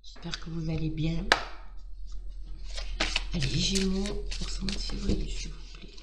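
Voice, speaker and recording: A woman with a slightly hoarse voice talks her viewers through a card reading, warm and excitable, stumbling through restarts and fillers. She sits a little away from the mic, and the room rings around her. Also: on the low side, measured pace, roomy sound, distant mic, very noisy. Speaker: tarot card reader. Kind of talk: casual astrology reading to camera.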